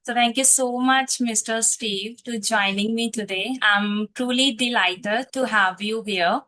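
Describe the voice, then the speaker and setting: Bright Indian woman host, politely formal. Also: fairly high pitch, measured pace. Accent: Indian English. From Punjab, India. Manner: formal, polite, a little careful. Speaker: host, spoken English teacher. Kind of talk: polite interview on a video call.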